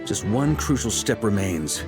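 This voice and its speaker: A man's voice: on the low side, expressive, music in the background. Assured, easygoing male expedition commander. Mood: assured, earnest. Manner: measured, assured, explanatory. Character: seasoned expedition commander, confident, easygoing, hearty man.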